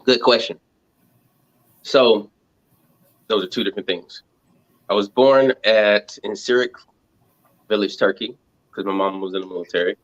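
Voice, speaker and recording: A man, answering casual interview questions in a halting, reflective way, thinking aloud. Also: lowish voice, lo-fi sound. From Montgomery, Alabama, United States. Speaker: reality show contestant interviewed as guest.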